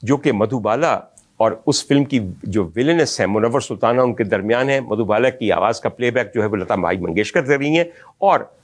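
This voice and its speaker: A man's voice: medium pitch, animated, fairly quick. A man from Pakistan, telling the history of old film songs in an ornate, reminiscing manner and speaking directly to his audience. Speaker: film music historian host. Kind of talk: storytelling music history talk.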